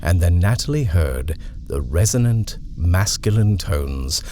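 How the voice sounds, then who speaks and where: A man reads a horror story aloud in a measured way. His voice is very low, yet his intonation moves freely and expressively. The reading stays steady and deliberate. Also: slight background noise. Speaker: narrator.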